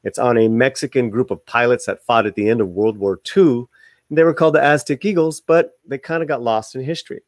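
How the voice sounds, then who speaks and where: Casting: male video host, mid-pitched, reads articles aloud and comments casually, tripping on words, dry recording. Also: no room sound. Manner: stumbles over words while reading; informal asides to viewers.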